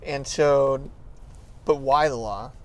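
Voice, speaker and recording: A man's voice: medium pitch, slow pace, slight background noise. A man co-hosting a podcast, a lawyer asking his partner curious, prompting questions. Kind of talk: interviewing his co-host.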